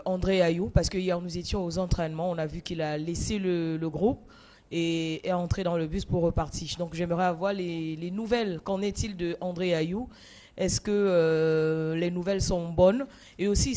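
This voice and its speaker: Male-sounding reporter, high voice, Cameroonian French accent, polite halting press questions. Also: a little mellow. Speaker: radio sports journalist.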